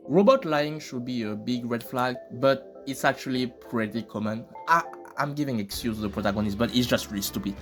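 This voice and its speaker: A man's voice: mid-range voice, a little fast, few pauses. A man hosting casual video commentary, informal and joking, with plenty of slang. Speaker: host of reaction commentary videos. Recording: loud music behind the voice. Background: loud music.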